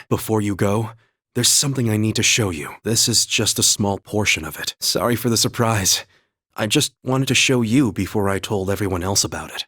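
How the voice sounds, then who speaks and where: A man voicing an earnest, calm young male warrior in a video game, speaking in a measured, sincere and formal way. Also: lowish voice, breathy edge. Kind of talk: video game voice acting, battle calls and dialogue.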